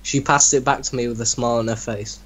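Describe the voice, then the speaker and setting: A man's voice: mid-range voice, steady tone, quick pace, breathy edge. A young man giving a mock-dramatic reading of a story among friends, savouring the text. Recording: loud acoustic guitar music behind voice. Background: acoustic guitar music.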